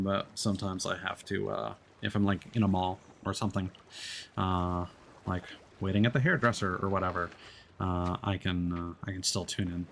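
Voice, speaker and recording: Low-voiced man chatting through gameplay. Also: a little hiss or hum. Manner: rambling, many fillers and restarts. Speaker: streamer. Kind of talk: casual gameplay commentary.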